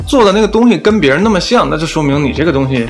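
A man's voice: fairly high pitch, animated, racing, faint music behind. A man co-hosting a casual chat show, talking in an opinionated, critical way. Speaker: podcast co-host.

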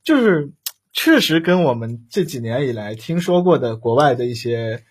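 A man with a medium-pitched voice that has a husky, unclear edge. He chats casually as a panelist, often stopping and restarting while he searches for the next word. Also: big pitch swings. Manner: halting, repetitive, searching for words. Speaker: talk show panelist. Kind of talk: casual livestream discussion.

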